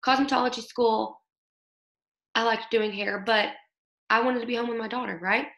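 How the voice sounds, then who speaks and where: A young woman with a hoarse voice from illness, leading her team in an upbeat motivational talk over a video call, often checking in with "okay?". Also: on the high side, steady tone, moderate pace, a bit bright. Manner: upbeat, coaching, keeps asking "okay?". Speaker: team leader. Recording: echoey room.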